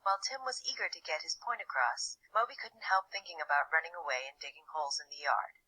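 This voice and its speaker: A woman playing a composed, detached storybook narrator in an educational cartoon, with a husky voice. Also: deep voice, fast talker, bright, forceful tone, heavily acted, rough recording. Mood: composed narration.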